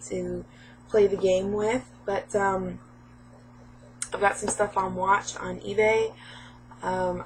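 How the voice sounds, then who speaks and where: A woman vlogging casually about her game pickups, hoarse and a little sniffly from a cold, chatty and rambling with lots of fillers. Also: medium pitch, slight background noise, rough recording.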